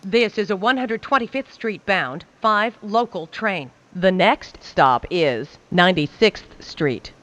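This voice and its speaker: A woman reading scripted subway train announcements in a measured, carefully enunciated way, phrase by phrase. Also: mid-range voice, lively intonation, a bit bright. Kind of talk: scripted automated transit announcements.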